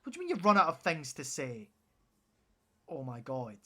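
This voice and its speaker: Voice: mid-range voice, big pitch swings, normal speed, sharp tone. A streamer giving sarcastic, sweary reactions while playing a video game.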